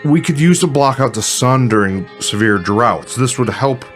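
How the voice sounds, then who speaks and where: A man's voice: mid-range voice, expressive, normal speed, soft background music, natural voice. An actor playing a pompous, eccentric TV weatherman and entrepreneur with grandiose, mock-genteel salesmanship. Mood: pompous, cheerful. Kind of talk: satirical broadcast pitch.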